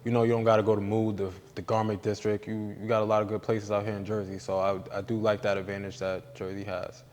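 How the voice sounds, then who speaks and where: Laid-back low-voiced man in a reverberant room. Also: a little hiss or hum. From New York. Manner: relaxed, drawn-out, easygoing slang. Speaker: guest, entrepreneur. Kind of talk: casual interview chat.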